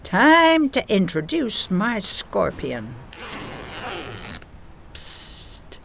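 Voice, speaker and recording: A woman's voice: on the low side, big pitch swings, unhurried, creaky, noisy background, heavily acted. A woman playing a scheming, haughty female corporate villain in an audio play, pompous and theatrical in manner. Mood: sinister scheming.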